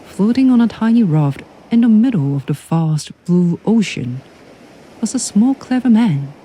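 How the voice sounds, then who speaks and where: Slow, mellow woman reading a bedtime story. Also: on the low side, husky. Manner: gentle, expressive.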